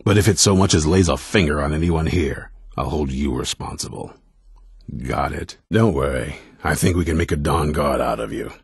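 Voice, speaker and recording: An actor playing a stern, suspicious vampire-hunter commander in a fantasy video game, blunt and commanding, with a gruff gravelly voice. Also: male voice, normal speed, no echo, clean studio sound, exaggerated voice. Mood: gruff, grudging. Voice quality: very deep, gravelly, raspy. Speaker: leader of a vampire-hunting order.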